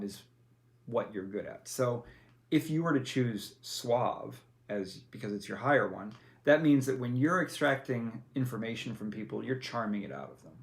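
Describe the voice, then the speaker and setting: Gravelly man patiently explaining game rules. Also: on the low side. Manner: patient, many fillers and self-corrections. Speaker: game master.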